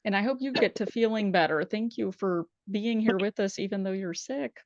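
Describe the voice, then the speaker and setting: A woman's voice: medium pitch, moderate pace, no room sound, muffled recording. A woman hosting a casual remote talk show, chatty and encouraging with her guest. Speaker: host. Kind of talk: casual chat.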